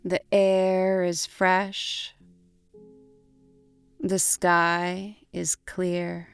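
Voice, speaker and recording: A woman leads a guided relaxation at an extremely unhurried pace. Her delivery is gentle and deliberate, leaving long pauses between short phrases. Soft instrumental music plays behind her voice. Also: on the low side, flat delivery, smooth, dry recording. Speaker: meditation guide. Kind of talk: guided imagery meditation narration.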